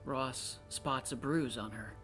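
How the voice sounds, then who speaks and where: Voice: mid-range voice, takes it slowly, fairly bright tone, faint music behind. A narrator of unclear sex reading scripted stage directions.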